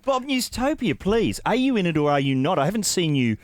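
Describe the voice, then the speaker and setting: A man's voice: high voice, big pitch swings, brisk. A man with an Australian accent hosting a podcast, interviewing in a casual, jokey, teasing way. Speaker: podcast host. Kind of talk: casual comic banter and interviewing.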